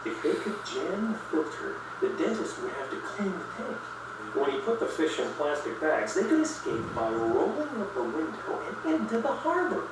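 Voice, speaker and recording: Animated male storybook narrator, roomy sound. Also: high-pitched, moderate pace, heavy background noise. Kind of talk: scripted children's story narration. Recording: roomy, distant playback with loud music.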